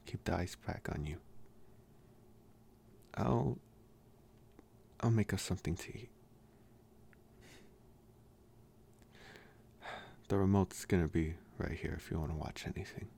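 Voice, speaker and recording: A man performs a young bully who is gruff but secretly caring, in an intimate audio roleplay. His voice is very low and creaky, and he talks slowly, halting softly as he shows his protective side. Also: slight background noise.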